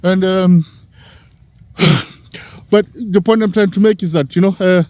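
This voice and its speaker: A man's voice: sits high, a little mellow. Rambling African man in his sixties. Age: 60s. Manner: halting, heavy on "you know" fillers. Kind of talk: casual rambling monologue.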